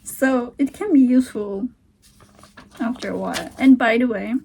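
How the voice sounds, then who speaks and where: A young woman with a Turkish accent, a native Turkish speaker, hesitantly thinking aloud in a casual home vlog, sometimes dropping to a soft whisper. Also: fairly high pitch, moderate pace, vocal fry, a little hiss or hum, low-quality recording. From Turkey. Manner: hesitant, musing, drifts into soft whispered asides. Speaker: vlogger. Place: at home, sitting on the floor beside a heater.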